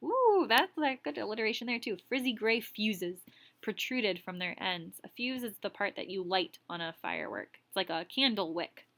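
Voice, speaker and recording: A woman teacher reading a story aloud expressively, pausing to explain words in a casual way. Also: medium pitch, lively intonation, gravelly, fairly bright tone.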